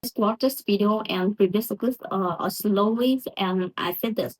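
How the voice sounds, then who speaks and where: A woman's voice: medium pitch, moderate pace, low-quality recording. A woman with a Vietnamese accent, a Vietnamese native speaker, giving a hesitant pronunciation practice lesson in broken English with many restarts. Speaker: English learner vlogger.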